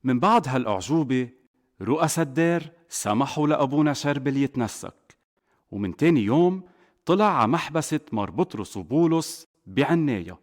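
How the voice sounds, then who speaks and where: A man with a Lebanese accent narrating a scripted children's story as a father would, in an expressive way. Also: medium pitch, a little fast. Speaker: narrator, a father telling a saint's life to children.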